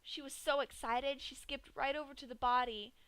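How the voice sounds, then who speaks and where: Woman narrator, fairly high, bright, steady measured read, dry close mic. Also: no room sound, low-quality recording. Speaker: fanfic reader. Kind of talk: reading a horror story aloud.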